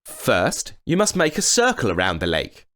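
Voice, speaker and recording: A man voicing a brave, clever young boy in a children's story, calm and confident. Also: mid-range voice, normal speed, pitched above the performer's own voice. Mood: instructing.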